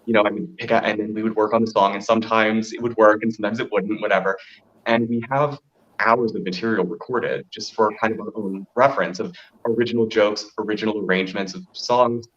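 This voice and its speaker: A man with a deep voice telling stories casually, as an interview guest who performs in drag. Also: fairly even intonation, fairly quick, a bit bright. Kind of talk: casual interview chat, storytelling. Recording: dull, lo-fi audio.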